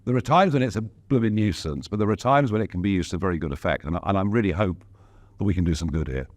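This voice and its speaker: A man's voice: sits low, fast talker, darkish tone. A man being interviewed as a politician, speaking in an emphatic, outspoken way with wry asides. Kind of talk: casual interview talk.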